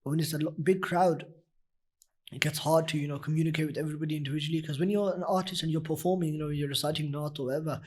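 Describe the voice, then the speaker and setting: A young man with a husky, deep voice, a nasheed reciter talking earnestly in a casual interview, full of "you know" fillers. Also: fairly even intonation, very fast. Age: young adult. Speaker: nasheed artist guest.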